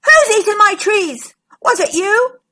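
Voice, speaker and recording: A hungry, hot-tempered storybook dinosaur, acted by a woman, exclaims at full volume. The voice is very high and piercing, swinging widely with indignation. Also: lively intonation, measured pace, full character voice. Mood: angry, accusing. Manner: loud, exclaiming, accusing. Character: hungry, indignant plant-eating dinosaur, shouting and wailing.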